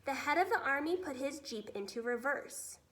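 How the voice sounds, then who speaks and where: A woman narrating a children's storybook expressively, with dramatic, deliberate emphasis. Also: sits high, animated, a bit bright. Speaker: teacher reading a children's book aloud.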